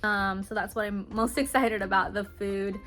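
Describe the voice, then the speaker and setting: A woman's voice: mid-range voice, flat delivery, moderate pace, a bit bright, faint music behind. A woman in her mid-twenties with an American accent, answering viewer questions in a casual, girly, slangy way. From Hawaii, United States. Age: mid-20s. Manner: informal, girly, peppered with slang. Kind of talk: casual chatty Q&A to camera.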